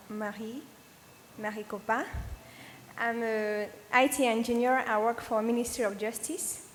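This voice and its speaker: A woman gives a polite, formal introduction to a public meeting. Her voice is fairly high. Long reverb from a large hall and a faint hum surround her. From Mali. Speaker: visiting delegate, IT engineer.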